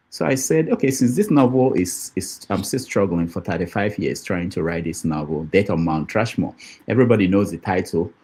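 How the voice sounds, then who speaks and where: Middle-aged guy, deep and a bit husky, poet talking about his book, lots of ums and restarts. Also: darkish tone. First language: Igbo. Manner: hesitant, many fillers and restarts, laughs easily. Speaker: guest poet. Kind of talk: conversational book discussion.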